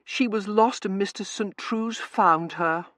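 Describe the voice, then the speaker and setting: A man's voice: high voice, a little slow, fairly bright tone, no echo, studio quality, pitched above the performer's own voice. A man voicing a spoiled, gushing young Victorian woman in an audiobook, dramatic and babyishly cooing. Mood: coaxing, cooing. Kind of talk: audiobook character voice.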